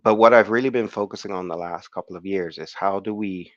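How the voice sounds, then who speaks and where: Low-pitched croaky male academic, halting delivery, dull dry call sound. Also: no room sound. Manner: hesitant, many fillers and restarts. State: croaky from the flu. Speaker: conference speaker. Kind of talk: academic online talk. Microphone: video call.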